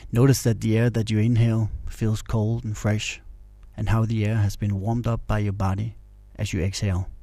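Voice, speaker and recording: Low, mellow male meditation guide. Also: moderate pace, dry recording. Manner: calm, soothing, instructional.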